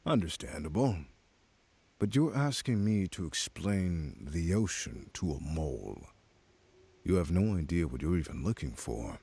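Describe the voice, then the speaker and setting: An actor playing a sinister, smug crime boss in a video game, condescending, measured and cryptic. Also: male voice, sits low, very animated, warm tone, slightly rough, no echo, heavily acted. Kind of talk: scripted video game voice acting.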